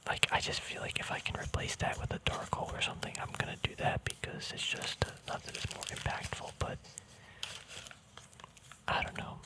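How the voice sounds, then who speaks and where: Whispering man in relaxed ASMR chat. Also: a little fast, rough recording. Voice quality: breathy unvoiced whisper. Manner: relaxed, soft, informal. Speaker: ASMR card game vlogger. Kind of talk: ASMR, casual rambling chat while handling cards.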